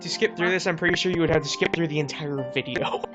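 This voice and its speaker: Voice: mid-range voice, quick pace. A let's play co-host chatting casually about a game, often stammering at the start of sentences. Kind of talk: casual gaming commentary. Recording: dull lo-fi mic, music behind. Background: game music.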